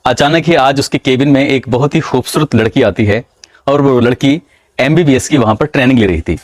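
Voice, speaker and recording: A man's voice: medium pitch, brisk, dry recording. Hindi man narrating true crime, dry.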